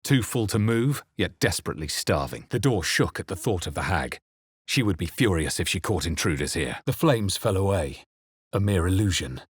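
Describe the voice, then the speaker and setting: A man playing a brooding, grave fantasy adventurer in a video game, speaking with measured, solemn theatricality. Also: deep voice, lively intonation, husky. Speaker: video game player character. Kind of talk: dramatic fantasy game narration, barks and spell incantations.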